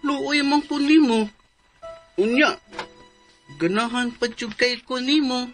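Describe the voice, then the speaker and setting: An actor as slow, naive Filipino simpleton suitor. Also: medium pitch, a bit bright, faint music behind. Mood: tender, pleading. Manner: pleading, naive. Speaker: radio drama actor. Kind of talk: melodramatic radio drama acting.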